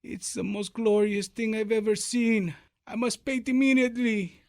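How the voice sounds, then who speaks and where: An actor playing an eccentric surrealist painter in a comic radio play, dreamy and grandiose, speaking in cryptic, theatrical pronouncements. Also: male voice, sits high, steady tone, normal speed. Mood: rapturous.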